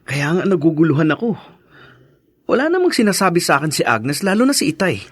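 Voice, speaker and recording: A dutiful, married Filipino son in a radio drama. His voice sits a little high and is recorded dry and close. He sounds respectful, concerned and troubled. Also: sing-song, brisk, slightly rough, no echo. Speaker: drama actor.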